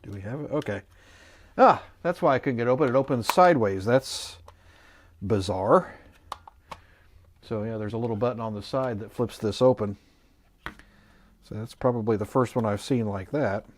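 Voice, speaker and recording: A man's voice: medium pitch, moderate pace, long pauses, slight background noise. A man giving a casual, unscripted product review, thinking aloud in a musing, hesitant and mildly skeptical way. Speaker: host of an electronics review channel.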